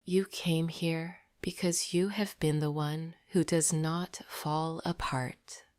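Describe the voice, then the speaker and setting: A woman narrating a scripted sleep meditation in a soft, breathy near-whisper, gentle and deliberate. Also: low voice, fairly even intonation, dry recording, studio quality.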